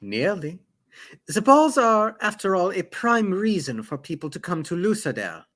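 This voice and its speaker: Man as a courteous steward, lively intonation. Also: sits high, moderate pace, heavily acted. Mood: instructive. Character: courteous, formal male steward tutoring his mistress. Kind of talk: acted reading of a fantasy novel.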